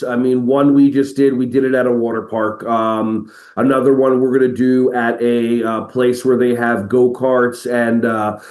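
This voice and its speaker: A man talks as a guest on a podcast, upbeat and playful as he explains his work. His voice sits at an ordinary middle pitch. The sound carries a roomy echo around him. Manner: energetic, jumps into playful quoted voices. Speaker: podcast guest, educator and motivational speaker. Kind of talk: animated conversational interview.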